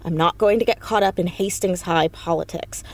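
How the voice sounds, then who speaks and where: An actress playing an earnest, stubborn fourteen-year-old girl who is quick to argue, in a naturalistic audio drama. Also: lowish voice, natural voice. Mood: insistent, breezy.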